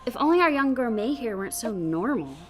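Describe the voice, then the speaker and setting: A woman playing a brisk, inquisitive doctor in a radio drama, with a clinical, questioning manner. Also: fairly high pitch, big pitch swings, faint music behind. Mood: brisk, pleased. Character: brisk, inquisitive female doctor in a secret laboratory.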